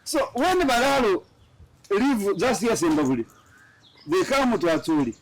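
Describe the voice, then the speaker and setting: Lively high-voiced man hosting a talk show. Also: unhurried, slight background noise, low-quality recording. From Tanzania. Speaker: show host. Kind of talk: studio political talk show.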